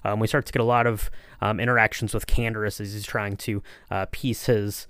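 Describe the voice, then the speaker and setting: A man's voice: lowish voice, little pitch movement, a little fast, a bit bright, smooth, dry recording, studio quality. A man hosting a podcast in a casual, informal, conversational way. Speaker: podcast host. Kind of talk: casual chat.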